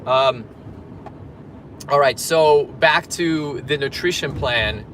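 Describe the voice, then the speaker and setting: A man's voice: medium pitch, slow pace, fairly bright tone, slight background noise. A man from Poland, a fitness coach, chatting casually on a livestream while driving and reading viewer comments aloud. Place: in a car in traffic.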